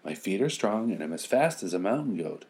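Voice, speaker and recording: A man voicing a brave, determined and warm-hearted little orphan girl in a storybook. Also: fairly low pitch, sing-song, a little fast. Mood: defiant, brave.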